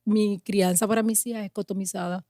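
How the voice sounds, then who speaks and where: A woman's voice: medium pitch, steady tone, a little fast, mellow, no room sound, clean studio sound. A woman in her forties, a pastor and mother, answering interview questions in an earnest, emphatic way. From Puerto Rico. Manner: earnest, emphatic, lists with building rhythm.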